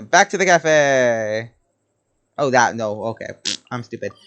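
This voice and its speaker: A woman's voice: very deep voice, lively intonation, slow pace, a bit bright. A woman playing a game, commenting casually, swearing and laughing as she goes. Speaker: gamer. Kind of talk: casual let's-play commentary.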